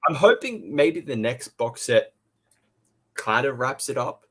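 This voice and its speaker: A man co-hosting a casual review podcast, talking haltingly and repeating phrases as he thinks aloud. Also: mid-range voice, very animated, a bit bright, breathy edge.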